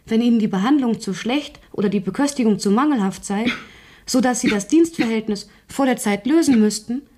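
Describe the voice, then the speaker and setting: High-voiced, earnest young woman activist, played by an actress. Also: brisk, a little mellow, lightly acted. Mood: earnest, reporting. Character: brisk, devoted young servant-union activist Sophie. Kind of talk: literary radio drama acting.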